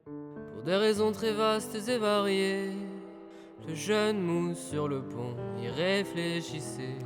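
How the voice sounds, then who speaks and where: Acteur en marin conteur, musique derrière. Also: male voice, fairly high pitch. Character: weary seasoned sailor recounting sea legends in verse. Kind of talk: audio fiction storytelling. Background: music.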